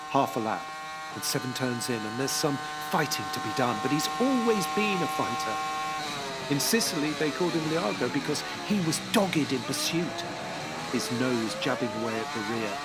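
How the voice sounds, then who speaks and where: A man's voice: medium pitch, very noisy. Man narrating a tense audio drama. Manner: hushed and tense, rising to urgent. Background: music.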